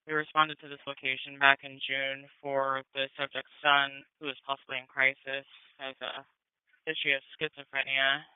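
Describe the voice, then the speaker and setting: A man's voice: medium pitch, clear, resonant tone, no echo. A man dispatching police and fire units over the radio in a matter-of-fact, procedural manner. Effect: police and fire radio transmission. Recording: tinny, dry narrowband scanner radio.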